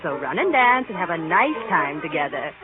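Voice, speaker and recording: A woman playing a kindly, brisk, matronly mother, gentle but managing, in 1930s radio drama style. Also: fairly low pitch, big pitch swings. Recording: tinny 1938 radio recording with loud music.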